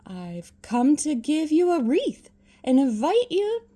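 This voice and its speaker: A hearty young man played by a woman from a storybook. He is a cheerful nephew, pitched high, eagerly coaxing with warm invitations. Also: sing-song, slow, heavily acted. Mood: cheerful, inviting.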